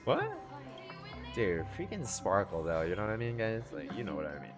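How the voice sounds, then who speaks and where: A young man streaming live reactions to game cutscenes in casual slang, excitable and exclamatory. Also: lowish voice, normal speed. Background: game music.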